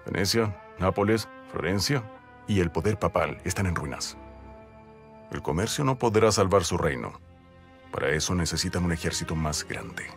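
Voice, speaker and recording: An actor dubbing a young sultan who gives slow, commanding pronouncements. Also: male voice, low voice, lively intonation, faint music behind. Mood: stern. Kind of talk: Spanish dub of a historical series.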